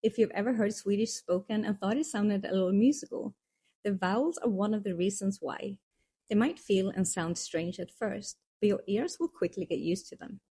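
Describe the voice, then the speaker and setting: A woman with a husky, mellow voice reads scripted lesson narration. She sounds calm and reassuring and explains each point step by step. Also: mid-range voice. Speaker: course narrator.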